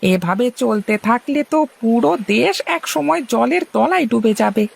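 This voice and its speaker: A performer voicing a worried, lamenting villager in a cartoon, with a creaky, rough voice. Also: medium pitch, expressive, normal speed, full character voice. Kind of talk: Bengali cartoon dialogue.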